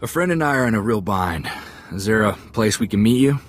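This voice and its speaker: Easygoing low-voiced gunslinger sidekick played by a man. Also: animated, brisk, a little hiss or hum, natural voice. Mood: concerned. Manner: easygoing, folksy. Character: eager, friendly male gunslinger sidekick. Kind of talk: video game voice acting.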